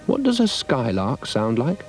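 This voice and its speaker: A man's voice: medium pitch, wildly swooping pitch, measured pace, faint music behind. Man voicing a curious, polite storybook girl. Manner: inquiring, straightforward. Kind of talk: children's storybook character voice.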